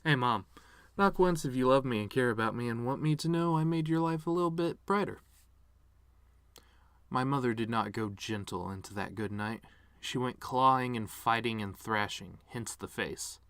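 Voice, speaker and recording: A man playing a bitter, wounded middle-aged TV star in a sardonic, theatrical eulogy monologue, swinging between outbursts and hushed asides. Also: mid-range voice, moderate pace, smooth, dry recording, close to an everyday voice. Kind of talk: dramatic monologue reading, fan performance.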